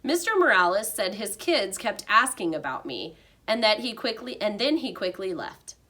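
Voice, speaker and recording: Bright, animated woman reading a children's story. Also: mid-range voice, normal speed. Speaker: teacher reading aloud. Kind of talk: expressive children's storybook narration.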